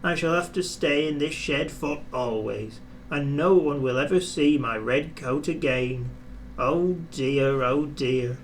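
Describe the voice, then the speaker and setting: A man's voice: mid-range voice, slow pace, a little hiss or hum, natural voice, poor audio. A man voicing a young male steam engine in a children's storybook, an earnest underdog with a puffing delivery. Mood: sad.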